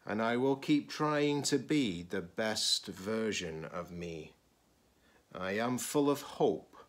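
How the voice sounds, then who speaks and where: Slow, low-voiced middle-aged man reading aloud. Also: expressive, slight background noise. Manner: deliberate, with short phrases and pauses. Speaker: teacher-librarian. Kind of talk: children's picture book read-aloud.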